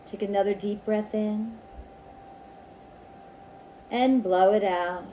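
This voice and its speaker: A woman leading a spiritual circle in a slow, ceremonial manner, with guided meditation and invocations and pauses between phrases. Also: mid-range voice, steady tone, dark tone, slight background noise. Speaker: Reiki circle leader.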